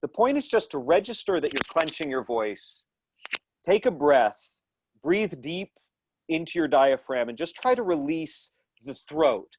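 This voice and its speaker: A man's voice: on the high side, sing-song, unhurried, dry recording. A man in his thirties teaching an online acting class from home over a video call, speaking thoughtfully with many restarts and fillers. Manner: gestures with his hands while explaining. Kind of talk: live online class lecture and Q&A. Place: small room at home. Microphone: earphone headset mic on a video call.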